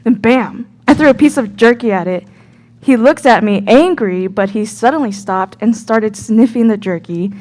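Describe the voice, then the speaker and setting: A young woman acting as an easily scared myth geek, telling her story in a casual, halting, earnest way. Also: fairly high pitch, a little mellow, lightly acted. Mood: earnest recounting. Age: young adult. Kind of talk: scripted radio play storytelling.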